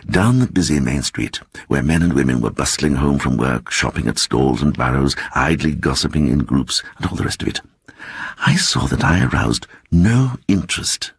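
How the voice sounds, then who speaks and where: An actor voicing a timid little man who earnestly and softly recounts an eerie adventure in a dramatic audiobook reading. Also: rumbling low, vocal fry, breathy edge, no echo, heavily acted. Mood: intense, uneasy. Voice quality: soft voice. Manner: hesitant, earnest, growing excited.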